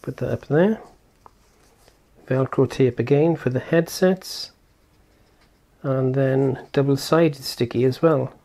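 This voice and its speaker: A man's voice: medium pitch, takes it slowly, pauses often, mellow. A man giving casual hands-on product commentary as a reviewer, explaining step by step and thinking aloud informally. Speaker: tech reviewer and presenter.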